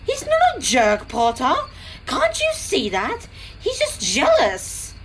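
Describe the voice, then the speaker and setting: Woman acting a lively, high-pitched female switcher engine. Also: slow, slight background noise, heavily acted, rough recording. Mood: exasperated. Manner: frank, reproving, earnest. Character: sensible, frank female switcher locomotive, firm and exasperated. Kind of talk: fan audio drama acting.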